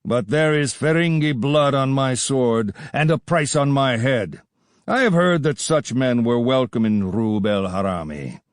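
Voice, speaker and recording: A man's voice: mid-range voice, measured pace, dry recording, clean studio sound, natural voice. A man voicing a confident, swaggering Kurdish desert rider who speaks boldly and proudly. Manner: bold, proud, flattering.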